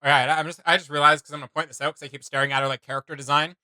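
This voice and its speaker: A man hosting a reaction video, chatting casually in an animated, self-deprecating way. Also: fairly high pitch, very fast, no room sound, studio quality.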